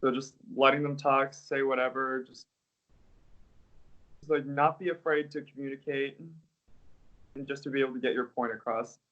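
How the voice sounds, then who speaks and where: A young man, an English teacher, answering interview questions in a relaxed, casual way, hedging often with "like" and "I guess.". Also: mid-range voice, normal speed, long pauses, dull audio. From United States.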